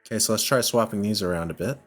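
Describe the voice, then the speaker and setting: A man's voice: on the low side, quick pace, soft background music. A man giving casual gameplay commentary, thinking aloud in a hesitant, self-questioning way as he works through a puzzle game. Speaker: video game playthrough host.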